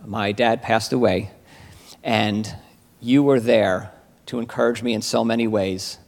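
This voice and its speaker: Man, mid pitch, gravelly edge, sincere grateful church testimony, roomy sanctuary reverb. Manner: heartfelt, grateful, a little halting with fillers. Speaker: church member giving testimony. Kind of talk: spoken testimony to a congregation.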